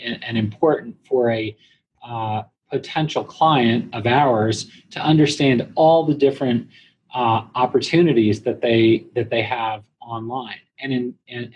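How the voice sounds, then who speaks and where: A man giving explanatory answers as a business guest in an interview, speaking in a measured, halting way with pauses. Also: medium pitch, a little mellow, highs cut off. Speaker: guest, internet marketing company president. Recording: clear background noise.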